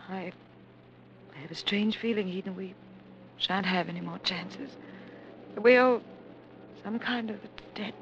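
A woman's voice: mid-range voice, measured pace, long pauses, lightly acted. An actress playing a frightened, guilt-ridden woman on the run, in grave 1940s radio drama style. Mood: fearful, guilty. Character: frightened, guilt-ridden woman fleeing war with her lover. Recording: dull, noisy vintage radio recording.

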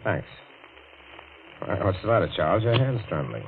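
An actor playing a sly, smooth blackmailer with an insinuating, mock-polite manner in a 1940s radio thriller. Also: male voice, low-pitched, sing-song, moderate pace, phone-quality sound, heavily acted. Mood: sly, needling. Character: sly, smooth male blackmailer toying with his victim. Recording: thin, hissy old radio recording.

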